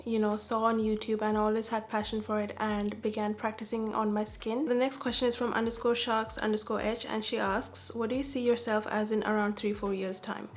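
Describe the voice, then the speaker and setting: A young woman from Dubai, calm and soft-spoken, answering viewer questions in a casual beauty vlog. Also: a little high. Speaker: beauty vlogger.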